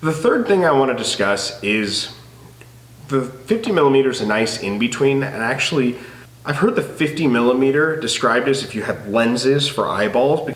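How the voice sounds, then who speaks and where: A man's voice: medium pitch, lively intonation, moderate pace, echoey room. A man with a nasal, stuffed-up voice from a head cold, chatting enthusiastically to camera about photography gear. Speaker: photography YouTuber. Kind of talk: casual gear talk to camera.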